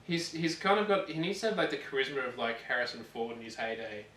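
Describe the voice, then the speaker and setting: Casual gaming co-host: male, bright tone, rambling and halting, recorded in a roomy space. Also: mid-range voice, lively intonation. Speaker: video show co-host. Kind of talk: casual chat about games and films. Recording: roomy, distant mic, some ambient noise.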